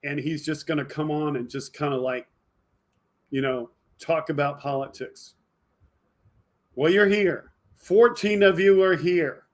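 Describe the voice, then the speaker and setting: Higher-pitched man in fired-up political monologue. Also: a little slow. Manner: emphatic, fired up, addressing viewers directly. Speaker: video podcast host. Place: indoor home studio with green screen.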